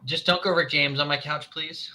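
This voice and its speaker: A man's voice: on the high side, steady tone, normal speed, pushed and bright. A man playing in a tabletop game, chatting in a friendly way and cracking jokes. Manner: friendly, joking. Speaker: player. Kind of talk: casual chat at a tabletop game.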